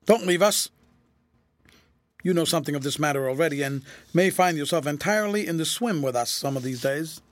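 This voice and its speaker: A man's voice: a little high, fairly quick, no echo, natural voice. A man voicing a stern, hot-tempered old general with a formal, imperious manner in a storybook reading. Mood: agitated, civil. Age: older.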